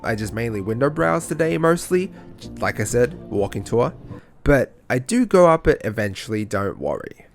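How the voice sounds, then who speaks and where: A man's voice: mid-range voice, sing-song, moderate pace, soft background music. A man narrating his own travel vlog as a voice-over, chatty and self-mocking, with casual swearing. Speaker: travel vlogger.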